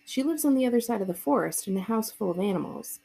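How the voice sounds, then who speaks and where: Animated woman reading aloud, creaky voice. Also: on the high side, fast talker, low-quality recording. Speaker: school librarian reading aloud. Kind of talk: animated children's book read-aloud with casual asides.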